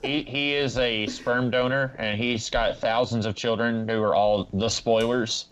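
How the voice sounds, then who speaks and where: A man's voice: mid-range voice, fairly even intonation, a little slow, fairly bright tone. Opinionated man on a muffled mic. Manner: informal, opinionated. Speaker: podcast co-host reviewing comics. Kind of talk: casual discussion. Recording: muffled lo-fi mic with background noise.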